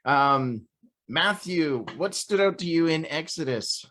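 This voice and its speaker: A man's voice: on the high side, animated, slow, sharp tone. Upbeat man hosting a casual livestream. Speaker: host of an online Bible read-along. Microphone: video call livestream.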